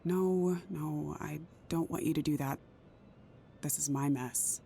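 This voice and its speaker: Worried careful career mom, audio drama, lowish mellow voice, confiding, hesitant. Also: female voice, fairly even intonation, slow, creaky, a little hiss or hum, exaggerated voice. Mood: troubled, firm. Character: careful, troubled career woman, secretly a mother. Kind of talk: intimate audio drama acting.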